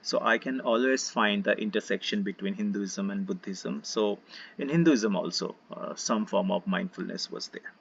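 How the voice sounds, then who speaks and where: A man with an Indian accent teaching a casual online session about peace and mindfulness, gentle and full of fillers. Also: lowish voice, moderate pace, gravelly, slightly rough, dull audio. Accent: Indian English. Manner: relaxed, gentle, many fillers, invites listeners to join in. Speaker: meditation teacher.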